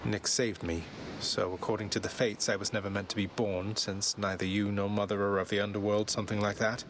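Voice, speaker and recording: Man acting a low-voiced young underworld prince. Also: flat delivery, brisk, noisy background, natural voice. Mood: defiant, challenging. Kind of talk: dramatic video game acting.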